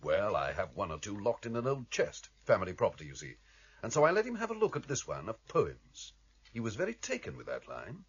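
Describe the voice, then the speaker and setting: An actor playing a loyal, plain-spoken go-between in a radio drama, with a slightly husky voice and a wry, conversational manner. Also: male voice, fairly low pitch, wildly swooping pitch, fairly quick, dull audio, full character voice. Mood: reflective.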